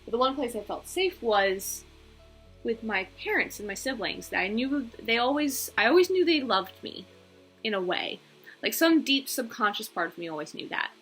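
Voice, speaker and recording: Bright-voiced young American woman, chatty vlogger. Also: on the high side, expressive, moderate pace, husky, soft background music. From England, United States and Belgium. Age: 20s. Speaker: video channel host. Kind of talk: casual personal vlog talk.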